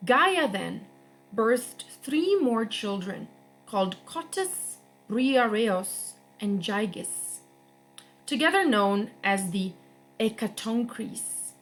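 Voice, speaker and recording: A young woman reading a myth aloud for a children's storytime, slowly and expressively. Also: slight background noise, poor audio. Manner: slow, expressive read-aloud with lively pitch. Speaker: guest reader.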